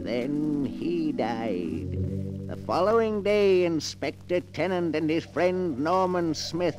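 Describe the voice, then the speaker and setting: Slow, solemn male radio narrator over music. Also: a little high, expressive. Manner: solemn, measured. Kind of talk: scripted dramatic narration, 1940s radio. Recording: vintage 1940s radio broadcast. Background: dramatic music.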